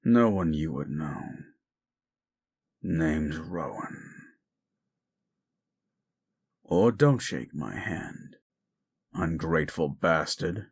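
A man playing a jaded, reclusive werewolf in an audio roleplay drama, gruff, curt and sardonic. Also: a bass voice, animated, slow, heavily acted. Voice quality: gruff, gravelly.

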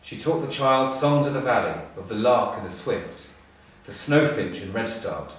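Heard from some distance in a very reverberant room, a middle-aged man reads a storybook aloud. His voice sits a little low. He phrases the scripted text expressively, with measured pauses. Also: lively intonation. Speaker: storybook reader, school staff. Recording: echoey room, distant mic.

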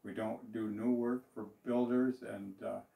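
Older man, gravelly, roomy office. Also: on the low side, little pitch movement, a little slow, warm tone. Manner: plain, rambling, with fillers and restarts. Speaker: business owner. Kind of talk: speaking to camera about his business. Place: small office, indoors. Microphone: far camera. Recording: roomy echo, voice from a distance.

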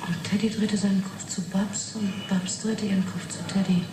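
An actress playing a matter-of-fact yet fascinated witness in a German radio play, halting and reflective. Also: female voice, mid-range voice, steady tone, lightly acted. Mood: intent, fascinated. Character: young woman witness recounting an uncanny experiment, matter-of-fact yet fascinated. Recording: roomy 1980s radio play, noisy background.

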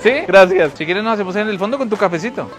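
A young man with a fairly high voice hosts a street interview in the open air. He teases and laughs a lot, and music plays under his talk. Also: fast talker, a bit bright, a faint crowd. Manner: playful, teasing, laughs often. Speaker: street photographer and vlog host. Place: city street outdoors.

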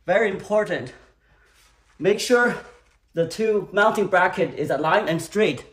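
Man, slightly high voice, unhurried how-to tutorial delivery, recorded in a garage. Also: fairly bright tone, husky. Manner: slow, methodical, explaining each step. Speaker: DIY instructor. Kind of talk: step-by-step how-to narration. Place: home garage.

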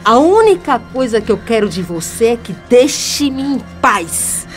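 Young Brazilian female blackmailer, played by an actress: defiant, self-righteous, highly animated, radio soap with faint music. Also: unhurried. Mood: pleading, weary. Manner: theatrical, taunting. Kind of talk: radio soap opera acting.

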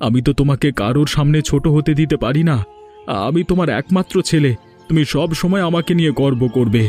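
Cartoon casting: man as devoted grown son, reassuring, slightly high male voice. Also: quick pace, a little mellow, soft background music, natural voice. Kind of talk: Bengali cartoon dialogue.

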